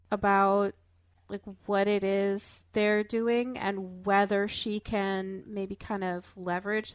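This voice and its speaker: Woman podcast panelist, average pitch, dry sound, thoughtful and analytical, conversational delivery. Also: dry recording. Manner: thoughtful, hedging, building theories step by step. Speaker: podcast panelist discussing a fiction show. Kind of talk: casual analytical discussion.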